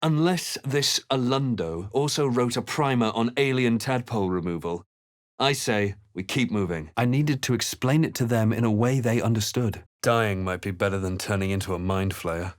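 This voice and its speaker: Male actor as composed adventurer, low pitch, dry close sound, deliberate game narration, brooding. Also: dry recording, natural voice. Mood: musing. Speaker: player character voice. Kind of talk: scripted game narration and character lines.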